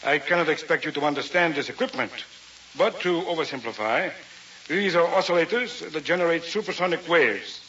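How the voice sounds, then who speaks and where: A man's voice: a little high, animated, a little fast, fairly bright tone. A man acting as an arrogant, coldly rational scientist and domineering husband in a vintage radio drama, with a pompous, lecturing manner. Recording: muffled, hissy vintage radio recording.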